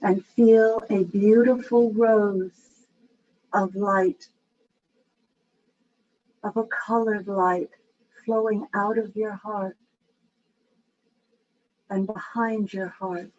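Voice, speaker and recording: Female meditation guide, low-variation delivery, very slow and soothing, slightly dull recording with faint noise. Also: fairly even intonation, a little mellow.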